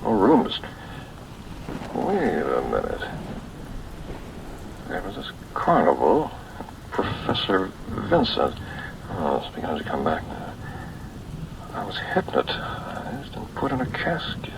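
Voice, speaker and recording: An actor playing a bewildered man buried alive, muttering haltingly to himself in an old-time radio thriller. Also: sits low, wildly swooping pitch, vocal fry, full character voice. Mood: groggy. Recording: distant, noisy old radio recording, rain behind.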